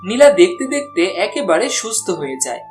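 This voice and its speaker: A woman's voice: medium pitch, very animated, fairly quick, darkish tone, soft background music. A woman giving scripted narration for a cartoon story.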